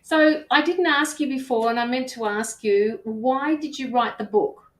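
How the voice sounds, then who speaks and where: A woman's voice: high-pitched, unhurried. A woman casually hosting a live online interview in an echoey room. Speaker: host.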